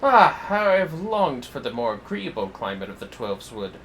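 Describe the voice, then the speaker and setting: A man voicing a pompous, eloquent young gentleman scholar from a fantasy game, with florid, theatrical diction. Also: medium pitch, sing-song, normal speed, slight background noise. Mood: grandly wistful.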